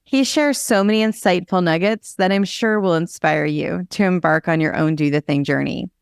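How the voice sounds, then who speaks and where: A woman's voice: on the low side, normal speed, vocal fry, clear, resonant tone, dry recording, clean studio sound. A woman with an American accent hosting a podcast, speaking in an upbeat, enthusiastic way as she introduces and interviews. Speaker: podcast host.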